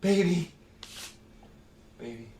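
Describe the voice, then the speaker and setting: Satirical radio play: male actor as young lovesick writer, high, theatrical, unstable, slightly distant in an echoey room. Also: animated, slow, a little hiss or hum. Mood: brooding, theatrical. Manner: melodramatic, swinging from hushed to shrill.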